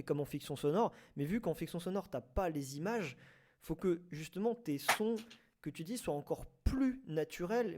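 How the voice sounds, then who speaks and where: A man's voice: a little high, darkish tone. A man hosting a casual live debate, hesitant, often repeating and rephrasing his words. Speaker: host of a live online debate. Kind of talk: casual unscripted debate on audio fiction.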